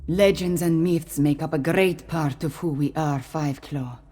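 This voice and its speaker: A woman voicing a composed, regal cat-folk queen in a video game, speaking in a measured, formal manner. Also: low-pitched, dark tone. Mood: measured, reflective. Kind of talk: scripted video game dialogue.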